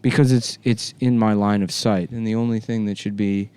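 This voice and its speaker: A man's voice: lowish voice, fairly even intonation, normal speed, darkish tone, smooth. A man riffing casually as a comedy podcast host, spinning bits out in a rambling, mock-serious way.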